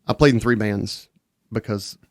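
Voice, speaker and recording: A man's voice: lowish voice, unhurried, dry recording. A man guest on a casual podcast, telling long stories with many restarts. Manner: rambling, self-interrupting storyteller.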